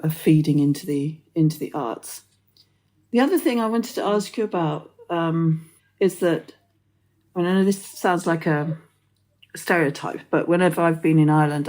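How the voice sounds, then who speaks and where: A woman's voice: low voice, a little mellow. An Englishwoman hosting a poetry podcast, musing aloud in long, thoughtful sentences. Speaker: podcast host. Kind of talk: conversational interview.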